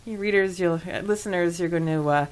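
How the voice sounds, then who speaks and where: A woman's voice: lowish voice, brisk. A woman co-hosting a podcast in a casual, chatty and enthusiastic way about a book. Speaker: podcast co-host.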